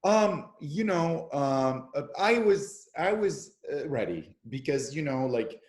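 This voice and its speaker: A man talking in a relaxed interview, telling stories with lots of fillers and restarts. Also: on the high side, slow pace. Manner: animated storyteller with many fillers and restarts. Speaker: actor and podcast guest. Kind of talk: casual interview conversation. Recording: echoey room.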